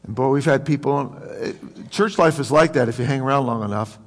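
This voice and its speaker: A man's voice: medium pitch, a little fast. An American man preaching a conversational sermon as a pastor, with a folksy, joking manner and questions put to his congregation. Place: large room, preaching to a congregation. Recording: reverberant hall.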